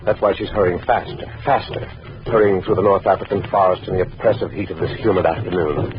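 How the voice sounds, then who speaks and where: A man giving ominous, measured narration for an old radio mystery. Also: low-pitched, fairly even intonation, background noise. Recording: muffled old radio recording, loud music.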